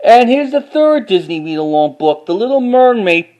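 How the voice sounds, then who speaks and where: A man giving a casual show-and-tell as a collector, talking informally in a halting, repetitive way. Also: sits high, very animated, mellow, muffled recording. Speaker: collector showing items to camera.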